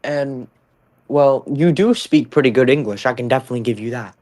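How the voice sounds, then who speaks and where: A young man streaming and chatting casually, rambling, with his pitch jumping up when he stresses a point. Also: brisk, darkish tone, no room sound. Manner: rambling, swings up in pitch on emphatic lines. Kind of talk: casual chat.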